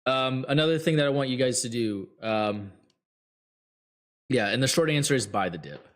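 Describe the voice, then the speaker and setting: A man hosting a trading show, giving blunt, rambling advice to viewers with many fillers, his voice getting hoarse. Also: mid-range voice, expressive, moderate pace. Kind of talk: casual advice talk to viewers.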